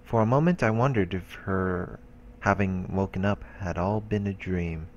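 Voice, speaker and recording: A let's play host reading a visual novel's narration aloud, with casual asides. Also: male voice, deep voice, moderate pace, highs cut off, slight background noise.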